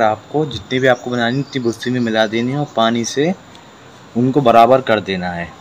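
Young man vlogging over noisy outdoor background. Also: mid-range voice. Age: young adult. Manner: instructional, addressing viewers directly. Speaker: vlogger keeping hens. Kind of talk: casual vlog explanation to viewers. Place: outdoor yard with plants and brick walls. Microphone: phone at arm's length. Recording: clear background noise, outdoor birdsong.

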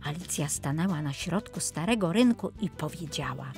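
A woman reading a scripted children's story in an expressive, playful storytelling manner. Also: sits low, normal speed, soft background music. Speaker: storyteller narrator.

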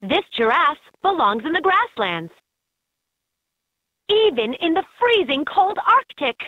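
A woman's voice: high-pitched, big pitch swings, slow, no echo, heavily acted. A woman voicing an upbeat guide in a children's educational animal game, in scripted narration with slightly dull game audio.

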